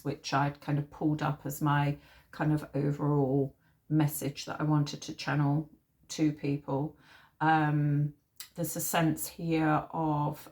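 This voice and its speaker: A woman with a slightly husky, nasal voice from a sore throat, giving an informal, halting tarot reading as host. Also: sits low, a little mellow.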